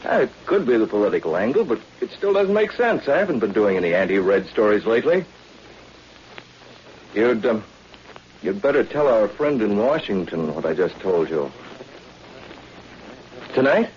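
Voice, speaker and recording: An actor playing a self-assured, tough but polite undercover agent in 1940s radio drama style. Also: male voice, on the low side, big pitch swings, moderate pace, long pauses, a little mellow. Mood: puzzled, urgent. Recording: muffled, hissy old-time radio recording.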